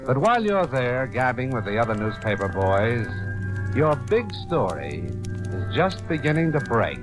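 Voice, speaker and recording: A man's voice: medium pitch, big pitch swings, moderate pace, natural voice. A man acting as a hard-boiled storyteller, narrating a vintage radio drama in the second person. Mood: measured, suspenseful. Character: hard-boiled storyteller addressing a police reporter. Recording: old radio recording with background music. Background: dramatic background music.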